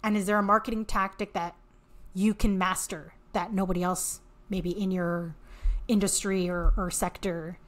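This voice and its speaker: A woman's voice: medium pitch, fairly even intonation, normal speed. A woman with a Canadian accent talking casually as an interview guest, explaining and often restarting her sentences mid-thought. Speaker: podcast guest, author and marketing entrepreneur.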